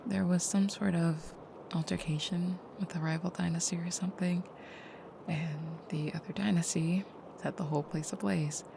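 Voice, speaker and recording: A woman playing a gentle, caring vampire girlfriend in an intimate audio roleplay, speaking softly and hesitantly, often near a whisper. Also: on the low side, steady tone, normal speed, vocal fry, background noise. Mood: hesitant, confiding.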